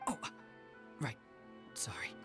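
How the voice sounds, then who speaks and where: An actor playing an uneasy, gossiping townsman in a video game, speaking in a hushed, apprehensive way. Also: measured pace, lightly acted. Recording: game music behind.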